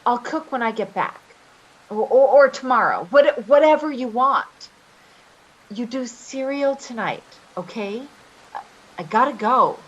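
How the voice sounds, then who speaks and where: A woman playing an evasive, manipulative caregiver in a readers theater drama, halting and repeating her words. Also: on the high side, animated, highs cut off. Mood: bossy, threatening. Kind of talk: readers theater stage acting.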